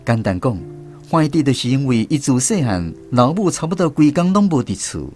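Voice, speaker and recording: A man's voice: medium pitch, very animated, moderate pace, close to an everyday voice. A man with a Taiwanese accent playing a former serviceman who wryly narrates barracks memories in a radio drama. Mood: wry, reflective. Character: former army serviceman recounting barracks memories, wry storyteller. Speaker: narrator, a former soldier in the story. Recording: music bed behind the voice.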